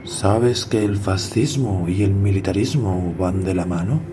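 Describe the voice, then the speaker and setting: A man's voice: low-pitched, a little slow. A man acting in a radio drama as a self-assured antimilitarist train passenger, speaking in an earnest, boastful, lecturing way. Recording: clearly noisy background.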